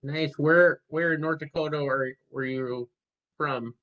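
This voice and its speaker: A man's voice: a little high, fairly even intonation, unhurried, highs cut off. A man teaching a class online, giving brief, friendly acknowledgements as students introduce themselves. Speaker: instructor. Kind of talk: class discussion.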